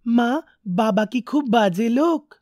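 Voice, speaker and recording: A woman voicing a small innocent young son who speaks softly and pleadingly. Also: on the high side, expressive, slow pace. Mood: worried. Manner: soft, pleading child voice.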